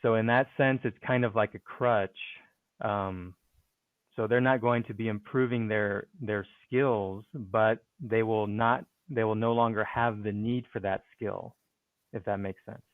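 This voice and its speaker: Low-voiced man on a tinny line. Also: fairly even intonation, a little slow, no echo. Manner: hesitant, many fillers and restarts. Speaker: speaking and writing coach, podcast guest. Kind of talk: conversational interview answer.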